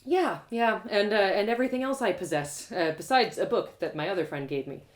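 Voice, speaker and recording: A woman's voice: medium pitch, brisk, lightly acted. A woman voicing an eager, sheltered young girl in a storybook, hesitant, apologetic and rambling. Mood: sheepish.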